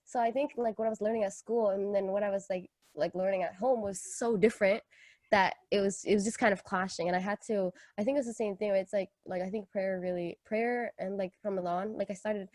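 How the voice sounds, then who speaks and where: A woman's voice: medium pitch, fairly even intonation, a little fast, a little mellow, dry recording. A young woman from Kenya, a podcast co-host telling personal stories in casual, slangy, jokey talk. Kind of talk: casual chat, personal storytelling.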